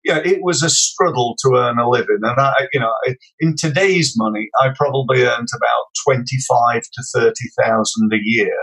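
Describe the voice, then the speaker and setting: A man's voice: mid-range voice, moderate pace, creaky, few pauses, fairly bright tone, husky, rough recording. A middle-aged man with a Yorkshire accent, telling his life story as a podcast guest, hesitant with many fillers and restarts. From Sheffield, England. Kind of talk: casual interview storytelling.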